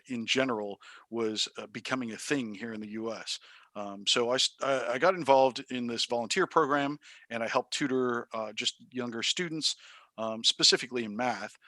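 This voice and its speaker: A man in his thirties from Taiwan, a teacher answering interview questions in a thoughtful way, pausing often with ums and uhs. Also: mid-range voice, bright, forceful tone, no echo. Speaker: high school math teacher, interview guest.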